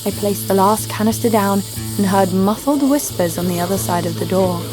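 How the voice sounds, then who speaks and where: A woman's voice: mid-range voice, normal speed, natural voice, low-quality recording. An actress playing a tough, wry female adventurer who narrates her own story in a scripted audio drama. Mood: wry, tense. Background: music.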